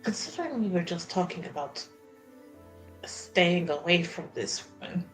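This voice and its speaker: A woman's voice: lowish voice, sing-song, a little slow, fairly bright tone, soft background music. A woman playing a tabletop roleplaying game, talking in casual, improvised table conversation.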